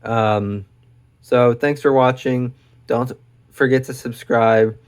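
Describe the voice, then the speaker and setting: A young man hosting an educational video, talking casually and enthusiastically about animals, with lots of fillers, his voice getting hoarse. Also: mid-range voice, fairly even intonation, slow pace. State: losing his voice. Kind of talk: casual unscripted explainer.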